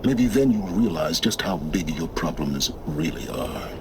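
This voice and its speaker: A man's voice: low-pitched, expressive. A man playing a cold, aloof scholarly mentor in a game cutscene, speaking in a clipped, condescending way. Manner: condescending, clipped, slow deliberate. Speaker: game character. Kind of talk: video game cutscene acting. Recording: game audio with clear background noise.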